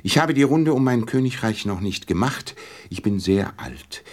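A deep-voiced old king, played by a man in a radio play. He is pompous yet kindly, swooping up and down as he hands out royal commands, sometimes faltering into stammers. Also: expressive, normal speed, natural voice. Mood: flustered, grandiose. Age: older.

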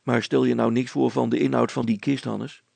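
A man as a sober, gravelly village smith. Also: medium pitch, flat delivery, normal speed, a little mellow, no room sound. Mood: tempering. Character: sober, patient, doubting village smith and father.

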